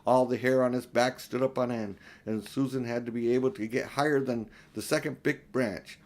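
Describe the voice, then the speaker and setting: An older man reads a children's storybook aloud. His voice sits at a middle pitch, and his narration is plain and homely, like an amateur audiobook. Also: fairly even intonation, moderate pace, a little mellow.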